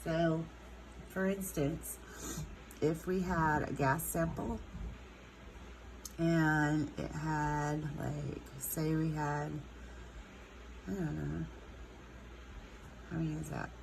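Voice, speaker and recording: A congested woman with a low voice and a stuffed-up nose walks through a chemistry lesson. She talks slowly, stretching words and filling pauses with ums. The recording carries a steady noisy hiss behind her. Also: creaky. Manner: unhurried, many ums, drawn-out words. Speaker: chemistry teacher.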